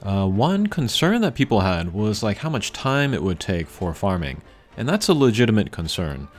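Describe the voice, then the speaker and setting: Guy with a lowish voice just rambling over his gameplay, kinda hesitant, game music playing behind. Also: big pitch swings, normal speed. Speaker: game video creator. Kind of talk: casual unscripted gameplay commentary. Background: video game music.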